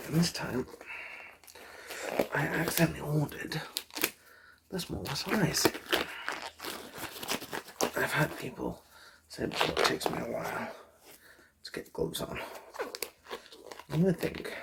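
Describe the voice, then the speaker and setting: A soft-spoken, often whispering person doing an ASMR eye exam roleplay, chatting gently and politely without hurry, close to the microphone. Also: mid-range voice, gravelly, heavy background noise. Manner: gentle, unhurried, polite chatty bedside patter. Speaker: ASMR performer playing an eye doctor.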